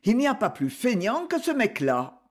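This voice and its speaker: Casting: small wiry Parisian bike racer, tipsy, gossipy slang, husky, for an audiobook read by a narrator. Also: medium pitch, huge pitch swings, moderate pace, pitched above the performer's own voice. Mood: mocking.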